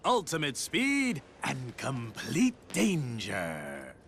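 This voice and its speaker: An actor playing a flamboyant, smug racing show host in a video game, theatrical and sardonic with a sneer. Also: male voice, medium pitch, wildly swooping pitch, drawn-out words, fairly bright tone, a little hiss or hum, full character voice. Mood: boastful. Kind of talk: showy video game cutscene acting. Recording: music behind the voice.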